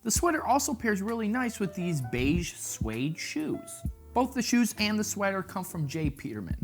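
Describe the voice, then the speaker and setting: A man's voice: high-pitched, very animated, normal speed, faint music behind. A man presenting a casual fashion vlog, chatty and playful, with jokey asides. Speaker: fashion vlogger. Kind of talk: casual style-tips vlog.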